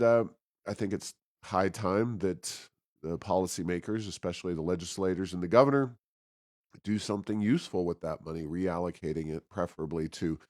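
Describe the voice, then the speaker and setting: A man with a low-pitched voice talks politics as a show co-host. His delivery is conversational and halting, with breaks in the middle of sentences. The sound is dry, with very little room in it. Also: darkish tone, dry recording. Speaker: talk show co-host, think tank president.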